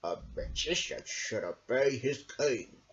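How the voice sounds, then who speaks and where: Woman acting a slow, sneering, wicked sorcerer. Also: a bass voice, expressive, voice pushed down, exaggerated voice. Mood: menacing, boastful. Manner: slow, menacing, pompous. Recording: dull lo-fi home recording.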